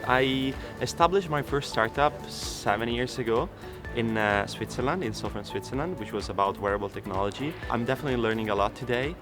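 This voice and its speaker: A man's voice: medium pitch, a little fast, few pauses. A man who founded a startup, speaking casually with some fillers in an event interview. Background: background music.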